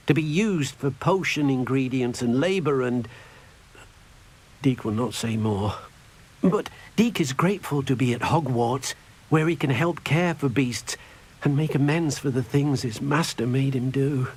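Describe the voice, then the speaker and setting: An actor playing a small, gentle house-elf in a video game, timid and earnest, speaking carefully in a husky voice. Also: male voice, mid-range voice, unhurried. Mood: somber, pained. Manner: speaks of himself in the third person, earnest and careful. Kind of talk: video game character dialogue.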